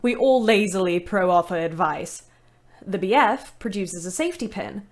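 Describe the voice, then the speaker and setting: A woman's voice: medium pitch, lively intonation, normal speed, natural voice. An actress playing a young ambulance driver in an audio play, narrating her war diary with weary bitterness. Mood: grim, measured. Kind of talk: dramatic first-person audio play narration. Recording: clear background noise.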